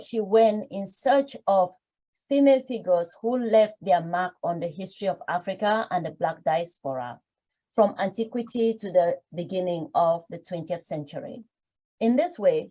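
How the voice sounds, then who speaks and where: Mellow-voiced woman hosting an interview. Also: mid-range voice, poor audio. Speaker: podcast host. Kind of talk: reading an introduction, then interviewing.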